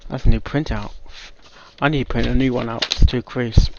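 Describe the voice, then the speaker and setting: A man's voice: mid-range voice, steady tone, a little fast, lo-fi sound, very noisy. A man giving emotional reaction commentary, blunt and sweary. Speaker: reaction video host.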